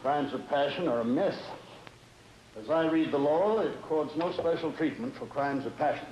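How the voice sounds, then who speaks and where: An actor playing a stern, formal judge and husband in measured 1950s television drama style. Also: male voice, on the high side, darkish tone, highs cut off, lightly acted. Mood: firm. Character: stern, formal middle-aged male judge and earnest husband. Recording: noisy old 1950s broadcast soundtrack.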